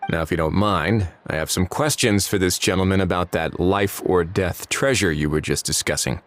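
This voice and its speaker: An actor playing a calm, probing male investigator in a video game, measured and quietly commanding. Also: low voice, natural voice. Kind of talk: video game cutscene voice acting.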